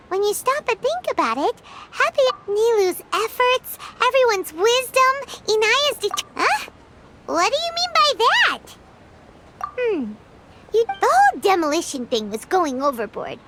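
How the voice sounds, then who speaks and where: A woman voicing a tiny, chirpy fairy companion in a video game, with a squeaky childlike voice and a chatty, expressive manner. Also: background noise, full character voice. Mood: curious. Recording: music behind the voice. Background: game music.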